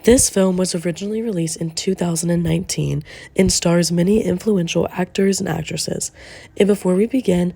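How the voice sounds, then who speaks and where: A young woman presents a scripted film review for a class. Her voice sits low and sounds soft and mellow. She slows down with care whenever she reaches a name. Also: fairly even intonation, creaky, slightly rough. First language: English. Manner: careful, slowed delivery on names. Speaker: student presenter.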